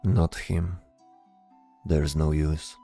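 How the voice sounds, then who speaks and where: A man voicing a calm, considerate leading knight in a fantasy audio drama, speaking in a measured, commanding way. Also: rumbling low, gravelly, soft background music, voice pushed down, full character voice.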